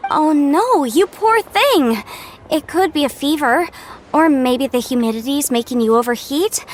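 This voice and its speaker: A woman's voice: high voice, lively intonation, unhurried, soft background music. A woman playing a sweet, caring, attentive young woman in a video game. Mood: concerned. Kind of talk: animated video game voice acting.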